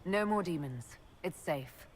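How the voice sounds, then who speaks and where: A woman's voice: fairly low pitch, expressive, measured pace, slightly rough. A woman playing a composed, commanding heroine and leader in a fantasy video game, speaking with authority. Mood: calm, assured.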